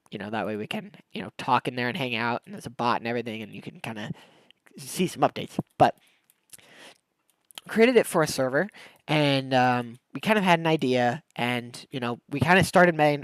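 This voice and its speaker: A man's voice: mid-range voice, no room sound. A young man chatting casually on a game livestream, rambling in a laid-back, slangy way with a congested, nasal voice from a cold. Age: young adult. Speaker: game streamer. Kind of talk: casual livestream chat while gaming.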